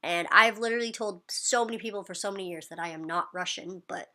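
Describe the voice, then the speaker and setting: A woman's voice: medium pitch, fast talker, pushed and bright. A woman talking casually to camera in a vlog, loose and chatty with lots of "like" and "um". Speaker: vlogger.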